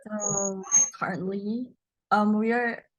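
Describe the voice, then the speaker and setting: Slow-spoken Indonesian teenage girl. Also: mid-range voice, fairly even intonation, low-quality recording. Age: teens. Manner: hesitant, searching for words in English. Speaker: guest student. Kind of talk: casual conversation.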